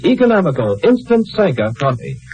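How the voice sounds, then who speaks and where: A man delivering a persuasive, emphatic scripted sales pitch in a 1950s radio advertisement. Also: wildly swooping pitch, brisk, a little mellow. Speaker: commercial announcer. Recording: old 1950s radio broadcast.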